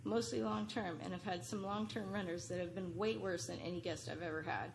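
A woman's voice: on the low side, fairly even intonation, fairly quick, vocal fry, background noise. A middle-aged woman reads an earnest, pleading prepared statement as a public commenter at a podium microphone in a large council chamber. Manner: earnest, pleading, read from notes.

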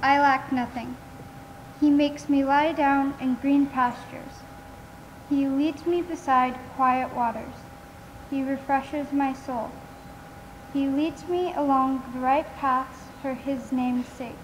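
A woman playing a devout mourner reciting scripture in a solemn, measured way in a stage play. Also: high voice, steady tone. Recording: faint noise, distant theater stage recording.

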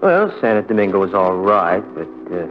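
An actor playing a haunted, heartbroken young man, telling his story with halting, conversational pauses in 1940s radio drama style. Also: deep voice, big pitch swings. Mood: wistful, rueful. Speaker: narrator character. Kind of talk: 1940s radio drama first-person narration. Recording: muffled vintage radio broadcast with music.

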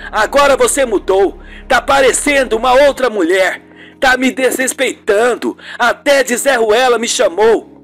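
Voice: mid-range voice, a little slow, a bit bright, pitched above the performer's own voice. A performer voicing a suspicious, wounded husband who nags with irony and reproach in an amateur radio soap. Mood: reproachful. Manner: ironic, reproachful. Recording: music bed under the voice.

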